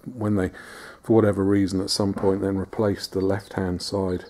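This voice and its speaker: A man giving a casual, relaxed walk-around tour, filming on a handheld camera outdoors and inside a barn, often pausing with fillers and restarts. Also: low-pitched, a little hiss or hum. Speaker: vlogger restoring a farmhouse.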